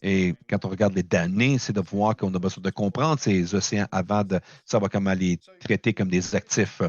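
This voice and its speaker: A man giving a conference talk over a video call, halting, with many fillers, speaking French as a second language. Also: low voice, fairly even intonation, breathy edge, no echo, highs cut off. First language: not French. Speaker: presenter at a launch event. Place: remote location, speaking in from afar.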